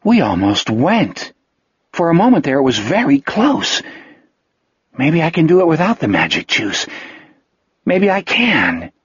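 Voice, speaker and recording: A man's voice: fairly high pitch, huge pitch swings, unhurried, long pauses, breathy edge, dry recording, lo-fi sound, exaggerated voice. A man voicing a slim, wary, feverish boy in an audiobook story, speaking plainly and urgently. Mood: shaken, relieved.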